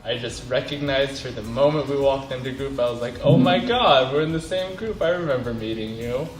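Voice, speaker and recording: A man's voice: mid-range voice, a little fast. Young man, engineering student, casual, self-deprecating, echoey room, music behind. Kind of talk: casual testimonial.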